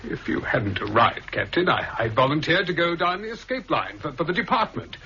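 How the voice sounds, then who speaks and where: A man's voice: on the high side, sing-song, a little fast, pushed and bright. A man with a British accent playing a suave, scheming, coolly commanding intelligence official in a 1960s radio drama, with a clipped, condescending manner. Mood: defiant, sneering. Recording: muffled, hissy 1960s radio recording.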